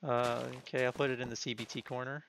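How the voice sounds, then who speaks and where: A young man speedrunning a game, chatting quickly and giving practical directions to his teammate. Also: medium pitch, flat delivery, vocal fry, a bit bright. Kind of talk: casual gaming chat with teammate. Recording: slightly dull mic with faint noise.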